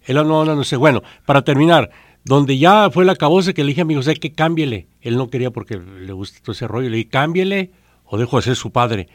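A man's voice: mid-range voice, animated, a little fast, dry recording, studio quality. A man with a Mexican accent co-hosting a radio show, telling rambling stories in casual chat. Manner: chatty, rambling storyteller. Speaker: radio co-host.

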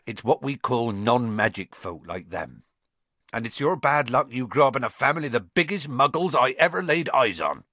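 Storybook giant: gruff, kindhearted, hot-tempered, dropped consonants, husky and forceful. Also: male voice, medium pitch, lively intonation, no room sound. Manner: folksy dialect, dropped consonants, halting.

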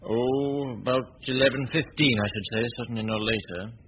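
An actor playing a composed, courteous gentleman detective in a radio mystery, with measured, formal, well-spoken delivery. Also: medium pitch, husky, close to an everyday voice. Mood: calm, explaining. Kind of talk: 1940s radio mystery acting. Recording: noisy, muffled old radio drama recording.

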